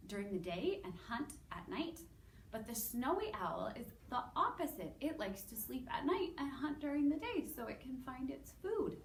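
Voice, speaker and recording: A woman with a Canadian accent presenting to young children as a story time host, in an animated way with simple, drawn-out wording. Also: on the high side. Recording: roomy, distant mic with background noise.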